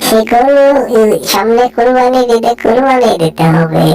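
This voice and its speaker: A woman's voice: a little high, animated, moderate pace, a little mellow. A woman voicing a chatty, grumbling grown-up household character in a homemade cartoon, rambling and exclaiming. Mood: rambling, lamenting. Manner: rambling, lamenting, full of stock exclamations.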